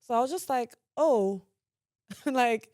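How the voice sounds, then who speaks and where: A woman's voice: on the high side, slow pace, no room sound, clean studio sound. A young woman from Nigeria, a podcast guest telling stories in casual chat and laughing often. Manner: laughs often, relaxed storytelling.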